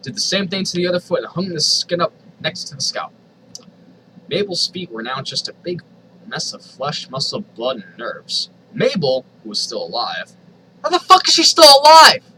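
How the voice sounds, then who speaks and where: A man's voice: fairly high pitch, wildly swooping pitch, moderate pace, background noise. A man reading a story aloud in a theatrical, comedic way, with incredulous asides. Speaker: host reading fan fiction aloud.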